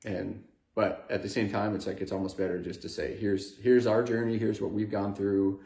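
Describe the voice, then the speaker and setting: A man's voice: fairly low pitch, flat delivery, normal speed, creaky, dark tone. A man in his thirties chatting casually on a video blog, hesitant, with many fillers and restarts. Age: 30s. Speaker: vlog co-host. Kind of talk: casual chat. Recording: echoey room.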